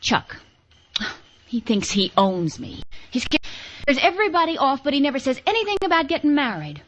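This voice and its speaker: A woman's voice: high-pitched, very animated, normal speed, fairly bright tone. An actress playing a spirited, scheming young frontier woman in an old radio western, coy and impulsive. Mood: fretful, scheming. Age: young adult. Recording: dull vintage radio recording.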